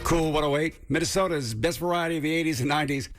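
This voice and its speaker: Higher-voiced male radio DJ, chatty. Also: fast talker, music in the background. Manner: chatty, conversational. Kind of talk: casual music radio DJ patter. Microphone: close studio radio microphone.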